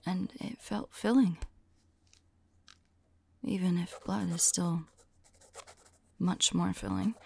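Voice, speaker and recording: Woman acting a slow, breathy, whispering vampire lover. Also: lowish voice, heavily acted. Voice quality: soft, breathy, often whispering. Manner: slow, wistful, affectionate. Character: tender undead vampire woman devoted to a reincarnated soulmate. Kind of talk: intimate ASMR roleplay.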